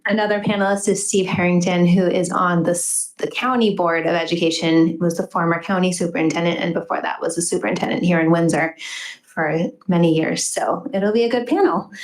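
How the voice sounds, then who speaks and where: A woman's voice: fairly low pitch, echoey room, poor audio. A woman on a school board, making chatty announcements with um fillers and self-corrections at a board meeting.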